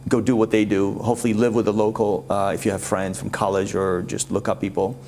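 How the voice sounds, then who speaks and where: A man's voice: lowish voice, flat delivery, fairly quick, a little mellow, slight background noise. A man, a guest in a conversational interview, explaining things and listing figures. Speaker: talk show guest.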